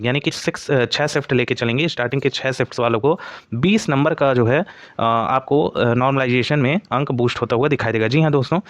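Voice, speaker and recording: A man's voice: medium pitch, dry recording. A man giving an informal explainer as an exam coaching presenter, often padding his points with stock phrases.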